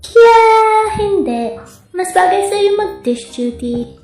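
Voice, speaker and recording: Bossy animatronic clown girl, female performer: gushing, theatrical, very high, roomy recording with faint music. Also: very animated, slow pace, vocal fry, darkish tone, heavily acted. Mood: bossy, cheery. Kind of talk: Tagalog fan-dub cartoon acting.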